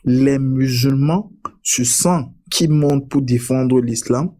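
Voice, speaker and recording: Cameroonian man, mellow low-toned voice, casual live-stream debater who stumbles on sentence starts. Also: takes it slowly. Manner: repeats words when starting sentences. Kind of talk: casual online debate chat.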